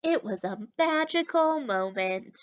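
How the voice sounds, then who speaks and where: A woman reading a story aloud to young children as a teacher, animated and encouraging, talking directly to her listeners. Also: high voice, a little slow, muffled recording. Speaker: children's story time reader and literacy teacher.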